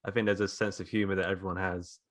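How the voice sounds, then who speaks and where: A man's voice: deep voice, flat delivery, fast, a bit bright. A man chatting casually as a podcast panelist in an easygoing way. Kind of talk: casual chat.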